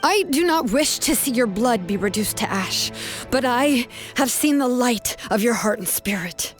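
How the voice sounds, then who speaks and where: A fairly high-voiced young woman in a video game, cool and determined. She lays out her points deliberately and firmly, over faint music. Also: animated. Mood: urgent, commanding. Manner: probing, deliberate, firm.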